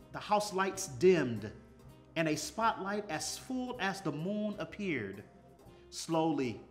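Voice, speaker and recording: An expressive man reads aloud for children in a slightly high voice. He takes his time and varies his pitch widely, with light music behind him. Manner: measured, expressive. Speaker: story reader. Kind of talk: read-aloud storytelling for children. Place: small furnished room, indoors.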